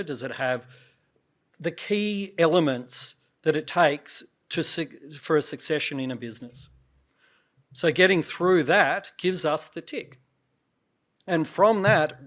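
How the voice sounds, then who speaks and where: Brief: male CEO, slightly higher voice, a bit croaky, pitching off the cuff with lots of "um"s and restarts. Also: fairly bright tone. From Australia. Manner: loose, filler-heavy, with many restarts. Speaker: startup CEO pitching to investors. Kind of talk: conference pitch talk. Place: on stage at an investor event.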